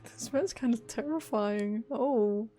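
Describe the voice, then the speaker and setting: A woman's voice: fairly high pitch, takes it slowly, mellow. A woman streaming a game, giving casual, exclamatory reactions to the story. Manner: reactive, blurts short exclamations. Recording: game music behind.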